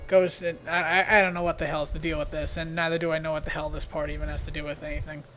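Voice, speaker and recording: Higher-voiced man rambling over noisy recording. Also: level tone, fast talker, a bit bright. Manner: hesitant, rambling, self-deprecating, mild swearing. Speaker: video creator giving commentary. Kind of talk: casual off-the-cuff commentary. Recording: noisy amateur home recording.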